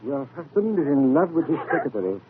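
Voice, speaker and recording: Fussy British husband, high and swooping, pompous yet placating; vintage radio drama. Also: male voice, sing-song, fast. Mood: flustered. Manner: fussy, stammering, blustering. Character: flustered, placating English husband, pompous playboy type. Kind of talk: old-time radio acting. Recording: tinny, noisy old radio broadcast.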